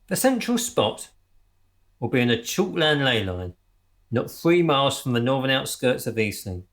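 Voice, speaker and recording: Excitable, pompous crop-circle gang leader. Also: male voice, medium pitch, moderate pace. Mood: pompous, businesslike. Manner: stammering and spluttering when worked up, otherwise pompous and precise. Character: earnest, easily flustered male crop-circle gang leader. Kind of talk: radio comedy drama acting.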